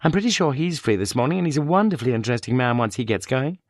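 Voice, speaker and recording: A man voicing a sharp-tongued, sardonic middle-aged woman academic in an audiobook. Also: mid-range voice, lively intonation, racing, no room sound, studio quality, pitched above the performer's own voice, close to an everyday voice. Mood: dry, wry. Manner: wry, sardonic.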